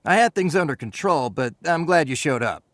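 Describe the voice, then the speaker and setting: An actor playing a brash young street thug in a video game, defiant but soft-hearted. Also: male voice, fairly high pitch, lively intonation, brisk, no echo, clean studio sound. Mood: cocky.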